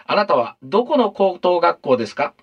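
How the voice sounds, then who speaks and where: Earnest, lively 1950s radio reporter. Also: male voice, high voice, normal speed, breathy edge, no room sound, lo-fi sound, exaggerated voice. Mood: formal, earnest. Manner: polite, formal, carefully enunciated. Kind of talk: radio drama, 1950s formal reporting and interviewing.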